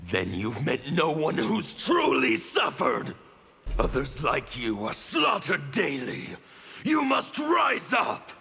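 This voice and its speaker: An actor playing a bitter, menacing revolutionary of chaos in a video game, declaiming defiantly in a gravelly growl. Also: male voice, on the high side, wildly swooping pitch, slow, pushed and bright, shouting, full character voice. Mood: impassioned, furious. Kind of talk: video game fight banter.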